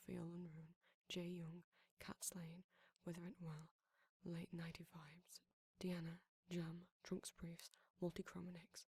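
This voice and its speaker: A woman speaking in a soft, breathy whisper, reading out ASMR outro credits in a gentle, measured way. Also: on the low side, dry recording. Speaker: audio roleplay creator reading credits.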